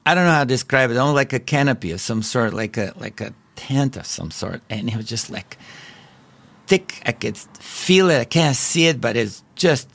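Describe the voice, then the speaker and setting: A middle-aged man from Bulgaria with a Bulgarian accent, telling his personal story as a podcast host in an earnest, halting way with frequent restarts. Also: mid-range voice, animated, vocal fry, fairly bright tone. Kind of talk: personal testimony.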